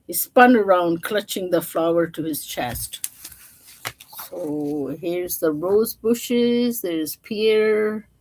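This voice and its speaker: A woman's voice: on the low side, darkish tone, low-quality recording. A woman storyteller reading a children's picture book aloud, with informal, chatty asides to her listeners.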